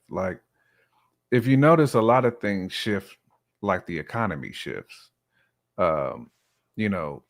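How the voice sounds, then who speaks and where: An American man co-hosting a casual talk show with commentary, repeating words for emphasis. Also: lowish voice. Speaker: co-host.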